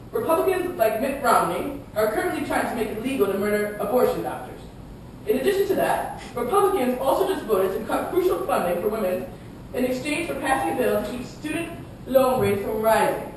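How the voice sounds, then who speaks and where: A woman playing a confident male president giving scripted campaign statements in a puppet show debate. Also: close to an everyday voice. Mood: assured. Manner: declarative campaign speechmaking. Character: male US president, confident candidate defending his record. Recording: echoey room, distant mic, rumbling noise.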